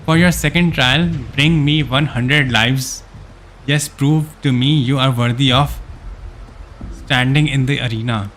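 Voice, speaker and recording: A man's voice: medium pitch, fairly even intonation, unhurried, fairly bright tone, a little hiss or hum, natural voice. A man reading the lines of a haughty, condescending nobleman in a video game, in a commanding manner. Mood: haughty, challenging. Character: haughty nobleman setting deadly gladiator trials.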